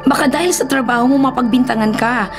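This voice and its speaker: An anxious Filipino wife in a radio drama. She is cautious and questioning, her voice fairly high, with music clearly underneath. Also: fast. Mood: worried. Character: worried, cautious wife of an illegal logger. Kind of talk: Tagalog radio drama acting.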